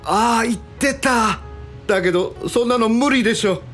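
A woman's voice: fairly high pitch, moderate pace, husky, faint music behind. A woman playing a hapless, lovestruck young man in a radio drama, casual and easily flustered. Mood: helpless.

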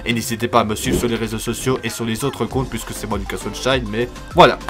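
A man's voice: mid-range voice, fairly even intonation, fast, soft background music. A man giving casual cartoon news commentary as a channel host, rambling and repeating himself. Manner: rambling, repetitive, filler-heavy.

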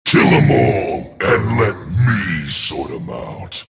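A man's voice: sits low, expressive, slow pace, heavy background noise, full character voice. A man voicing a huge, menacing demon gatekeeper of hell in a video game, growling and theatrical. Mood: grim, ominous. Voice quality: gravelly, growling. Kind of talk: video game champion voice lines.

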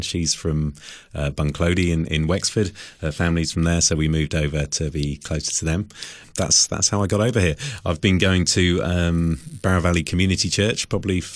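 Very deep-voiced southern English man. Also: no echo. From Salisbury, southern England. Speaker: studio guest, singer-songwriter. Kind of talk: conversational radio interview. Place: radio studio.

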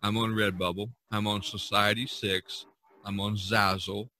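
A man's voice: fairly low pitch, little pitch movement, unhurried, sharp tone. A man hosting a casual podcast about art, talking in a rambling, enthusiastic way and repeating himself. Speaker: podcast host and artist.

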